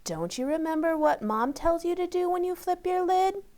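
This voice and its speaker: A woman voicing a kindly, forgetful female memory keeper in a children's story, gentle and motherly as she prompts. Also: very high voice, lively intonation, normal speed, pitched above the performer's own voice. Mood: gently reminding. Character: Miss Pickles, kindly, forgetful female hippocampus who keeps memories.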